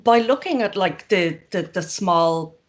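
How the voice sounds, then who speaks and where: A woman's voice: on the low side, animated, measured pace, highs cut off. An Irish woman chatting as a guest, rambling and self-deprecating, laughing often between thoughts. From Ireland. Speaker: guest zine maker. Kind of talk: casual video-call interview.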